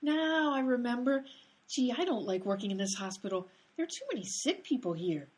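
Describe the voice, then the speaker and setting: A woman's voice: on the high side, lively intonation, fairly quick, darkish tone, slightly rough. A woman playing a ditzy, literal-minded hospital nurse with a naive manner in an absurd radio comedy sketch. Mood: ditzy, oblivious. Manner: ditzy, naive, swooping delivery.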